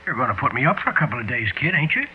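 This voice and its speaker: An actor playing a sly, menacing older American down-and-out drunk, giggly and wheedling, in 1940s radio style. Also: male voice, medium pitch, sing-song, racing, natural voice. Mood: sly pressure. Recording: dull 1940s radio recording with music.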